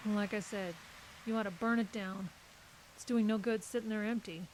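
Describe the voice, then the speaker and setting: A woman's voice: medium pitch, steady tone, normal speed, a little mellow, lightly acted, poor audio. A woman playing a guarded, brusque literary agent in an audio drama, with a dry, curt manner. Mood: disapproving. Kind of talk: naturalistic audio drama acting. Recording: clearly hissy recording.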